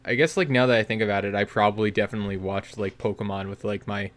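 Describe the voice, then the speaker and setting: A man's voice: lowish voice, steady tone, quick pace. A young man co-hosting a podcast, talking casually with constant "like" fillers and jokes. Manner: rambling, many "like" fillers, joking. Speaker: podcast co-host. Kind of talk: casual chat.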